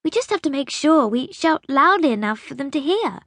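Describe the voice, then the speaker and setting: A woman's voice: high voice, big pitch swings, normal speed, no room sound, clean studio sound, voice pushed up. A woman voicing a bitter, insistent young girl in an audiobook story, pleading and accusing with emphasis. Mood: urgent. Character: bitter, demanding young girl pleading with her great-grandmother.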